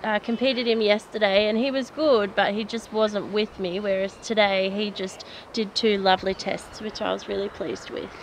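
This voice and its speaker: Even-toned young Australian woman, outdoor noise. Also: mid-range voice, moderate pace, few pauses, rough recording. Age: 20s. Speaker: amateur dressage rider being interviewed. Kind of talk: casual interview chat. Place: outdoors at wooded pony club grounds. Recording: outdoor ambience with background noise.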